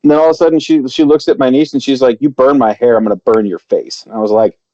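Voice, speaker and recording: A man's voice: mid-range voice, fairly even intonation, fast, mellow, no room sound, highs cut off. A man chatting casually on a podcast, telling a long story with lots of fillers and restarts. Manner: rambling, many fillers and restarts. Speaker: podcast co-host.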